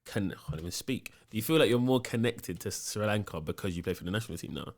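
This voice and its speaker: Deep-voiced young podcast host. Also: male voice, expressive, fast talker. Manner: chatty, slangy, lots of "yeah, yeah, yeah". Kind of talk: casual podcast interview.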